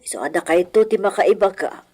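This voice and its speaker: An actress playing an elderly, dignified hacienda matriarch in a Filipino radio comedy-drama, wistful and solemn, with a Filipino accent. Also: female voice, on the low side, animated, normal speed, darkish tone, husky. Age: older.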